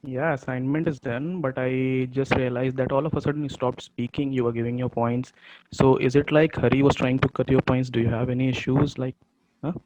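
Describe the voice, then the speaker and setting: A man's voice: mid-range voice, fairly even intonation, brisk, darkish tone, slight background noise. A man from Bihar in a student group who talks casually and calls the others "bro". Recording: dull lo-fi audio.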